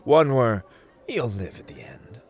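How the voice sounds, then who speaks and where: A man's voice: wildly swooping pitch, unhurried, slightly rough, soft background music, heavily acted. A man playing a playful, haughty god of luck in an ASMR roleplay, theatrical and teasing, drawling his words as he addresses the listener. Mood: scornful, stern. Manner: theatrical, teasing, drawling, swinging between hushed and booming.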